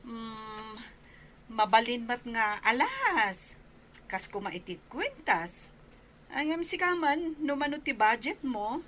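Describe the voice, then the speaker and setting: A woman's voice: a little high, sing-song, takes it slowly, sharp tone, a little hiss or hum, full character voice. A woman playing a blunt but helpful older sister figure in a radio drama, musing in a drawl with an Ilocano accent.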